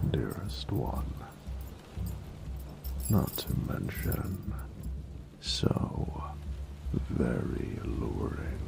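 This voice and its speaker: A man playing a flirty, adoring dragon mentor in an intimate romantic audio roleplay, speaking formally in a gravelly voice that often drops to a whisper. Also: a bass voice, full character voice. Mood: adoring, seductive. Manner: slow, formal, doting, seductive.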